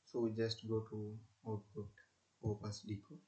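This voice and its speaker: A young man with an Indian accent giving a technical demonstration as a student presenter, explaining haltingly with repeated phrases. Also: lowish voice, completely flat delivery, mellow, dull audio. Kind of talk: screen-recorded technical demonstration.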